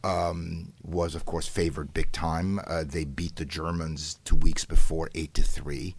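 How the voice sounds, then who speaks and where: A man's voice: a bass voice, flat delivery, measured pace, slightly rough. An older man, a professor whose first language is Hungarian, telling long stories in an interview, rambling with many fillers and restarts, flaring up with animation now and then. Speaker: professor and guest. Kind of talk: conversational interview storytelling.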